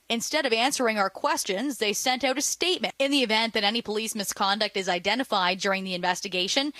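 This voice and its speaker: A woman's voice: mid-range voice, pushed and bright, no room sound. A woman reading a scripted TV news report as a reporter.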